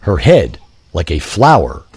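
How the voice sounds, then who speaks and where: A man's voice: low voice, wildly swooping pitch, measured pace, darkish tone, husky, no echo. A man narrating an audio drama in a grandiose, literary, theatrical style. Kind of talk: theatrical scripted story narration.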